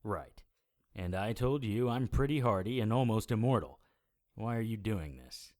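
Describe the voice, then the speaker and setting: A man's voice: lowish voice, expressive, moderate pace, darkish tone, close to an everyday voice. A man playing a wry, weary supernatural private investigator in an audio drama, with a dry, sardonic manner. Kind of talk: audio drama acting with first-person noir narration.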